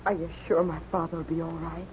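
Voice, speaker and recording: A woman's voice: low voice, little pitch movement, fast, a little mellow, natural voice. A woman acting an earnest young frontier daughter. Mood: grateful, anxious. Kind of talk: 1950s radio western acting. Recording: tinny, noisy 1950s radio recording.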